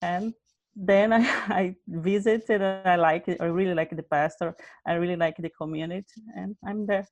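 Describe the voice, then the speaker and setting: Lady telling her faith story, lowish voice, dry close sound, earnest plain english. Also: fairly even intonation, normal speed, darkish tone, dry recording, dull audio. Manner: earnest, simple non-native phrasing. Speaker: interview guest, Bible study leader. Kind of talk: personal testimony in an interview.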